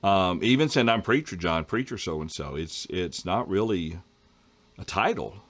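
A middle-aged man hosting a casual Bible study discussion over a video call, reading viewer questions and often clearing his throat. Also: deep voice, lively intonation, unhurried. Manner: conversational, frequent throat clearing. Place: home study with bookshelves.

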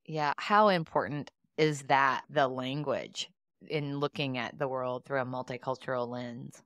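Woman, podcast host, curious, low pitch, dry sound. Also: a little slow, a bit bright, dry recording. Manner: curious, engaged. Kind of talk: interview questions.

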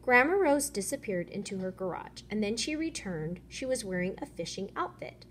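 A woman who is a schoolteacher, reading a children's picture book aloud with expressive storytelling. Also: mid-range voice, normal speed. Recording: noisy room ambience.

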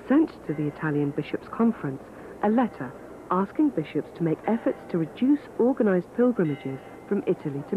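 A man giving scripted documentary narration in a measured, formal way. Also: fairly high pitch. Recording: phone-like band, faint hiss.

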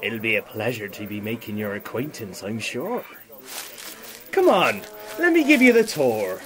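An actor playing a genial but sly rustic villager in an audio drama, folksy and theatrical. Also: male voice, on the low side, very animated, moderate pace, a bit bright. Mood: genial, proud.

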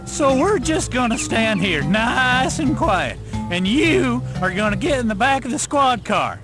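Smug, very high-pitched bossy cop. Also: male voice, big pitch swings, exaggerated voice. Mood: bossy, sarcastic. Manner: sarcastic, commanding, drawn-out. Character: smug, bossy, impatient male city policeman. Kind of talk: video game character acting. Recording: music behind the voice.

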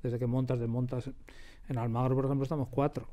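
A man's voice: mid-range voice, level tone, quick pace, a loud crowd. A man with a Castilian Spanish accent, explaining and telling anecdotes in an interview, emphatic and repeating phrases for stress. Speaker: radio drama producer, interview guest. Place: radio drama studio.

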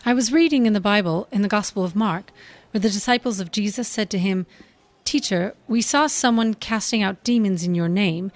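An actress playing an earnest, polite young stage actress, articulate, in a comedy audio drama. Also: female voice, mid-range voice, normal speed, close to an everyday voice. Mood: earnest, thoughtful.

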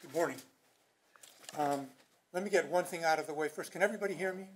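An older man with a soft voice reading a prepared paper aloud at a conference podium. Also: a little high, fast talker, slight background noise. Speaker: conference speaker. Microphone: podium microphone.

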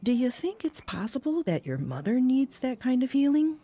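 Husky, motherly older woman. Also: high-pitched, very animated, normal speed, full character voice. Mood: gentle, encouraging. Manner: gentle, nurturing, counselling. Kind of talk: audio drama dialogue.

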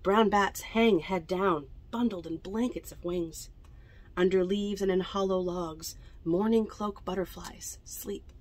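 A young woman reads picture books aloud to children in an unhurried storytelling manner, adding chatty asides about the pictures. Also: lowish voice, fairly even intonation, darkish tone. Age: young adult. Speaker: library storytime reader. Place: indoors among bookshelves in a small library bookmobile.

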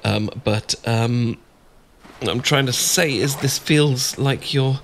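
A man's voice: medium pitch, slow pace. A man with a British accent playing a shy, adorkable, flustered young man in love, stammering and hesitant in an ASMR boyfriend roleplay. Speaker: audio roleplay performer. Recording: clear background ambience noise.

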